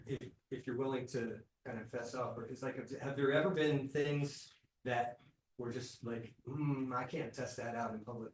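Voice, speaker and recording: A man in the audience asking the author a question, hesitant and rephrasing as he goes. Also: medium pitch. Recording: roomy, far from the mic, faint noise.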